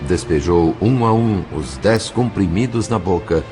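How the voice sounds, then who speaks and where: A man with a Brazilian accent narrating an audio novel in a measured, solemn, literary way. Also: low voice, lively intonation, background noise. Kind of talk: dramatic scripted narration.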